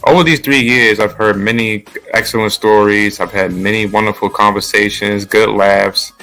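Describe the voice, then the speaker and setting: A man hosting a casual interview podcast, upbeat and quick to laugh, with loose, chatty phrasing. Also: lowish voice, fairly even intonation, low-quality recording. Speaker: podcast host.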